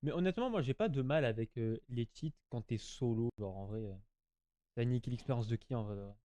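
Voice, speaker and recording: Mellow-voiced guy commentating a game. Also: mid-range voice, fairly quick, no echo. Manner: slangy, chatty, addresses viewers, repeats himself. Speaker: gaming YouTuber. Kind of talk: casual gameplay commentary to viewers.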